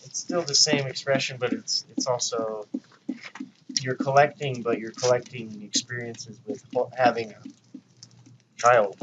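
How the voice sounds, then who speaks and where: A man's voice: medium pitch, fairly even intonation, a little slow. A man in a casual spiritual discussion, rambling and circling back over his phrases as a co-host. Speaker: talk show co-host. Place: informal recording by a fire. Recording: muffled lo-fi, clear background noise.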